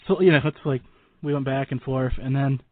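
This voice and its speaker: Fast-talking man hosting, phone-like sound. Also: mid-range voice, fairly even intonation, no echo. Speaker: talk show host, interviewer. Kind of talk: casual interview chat. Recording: dry, narrow phone-like sound.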